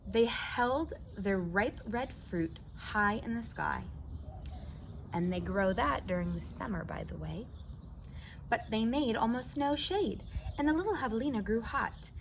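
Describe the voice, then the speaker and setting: Animated American woman reading to children. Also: mid-range voice, a little hiss or hum. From southwestern United States desert. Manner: animated, addresses listeners directly. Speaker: children's storyteller. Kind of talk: expressive read-aloud for young children.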